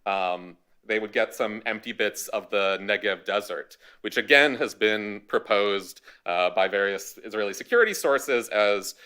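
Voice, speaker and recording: Man with a low, smooth voice. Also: bright, forceful tone. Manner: measured, dryly wry. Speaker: panelist, history academic. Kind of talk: academic panel talk. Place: university event hall.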